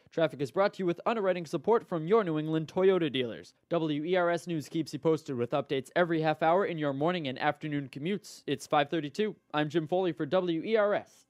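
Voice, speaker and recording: A man presenting radio news, sports updates and sports talk as an anchor and host. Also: fairly high pitch. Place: radio studio.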